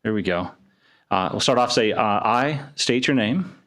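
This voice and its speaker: A man chairing a city council meeting, with a booming voice, reading an oath in measured phrases into a desk microphone in a council chamber. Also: animated, a bit bright. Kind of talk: formal meeting procedure.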